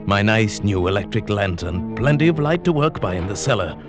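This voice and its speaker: Man voicing a cold wife-killing professor, smooth gloating delivery, lowish voice, music under. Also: animated, brisk, lightly acted. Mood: cold narration. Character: scheming middle-aged male professor, cold wife-murderer. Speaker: narrator and lead character. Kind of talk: 1940s radio drama acting.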